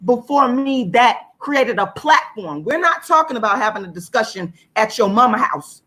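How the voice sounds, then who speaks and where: Casting: female activist guest, hoarse but fairly high voice, emphatic delivery that hammers repeated phrases. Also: lively intonation, moderate pace, a bit bright. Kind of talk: impassioned monologue in an interview.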